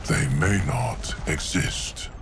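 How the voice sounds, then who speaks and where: An actor playing a stoic, loyal ancient guardian of time in a video game, formal, deliberate and impassive. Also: male voice, background noise, close to an everyday voice. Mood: loyal, sober. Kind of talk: video game cutscene acting.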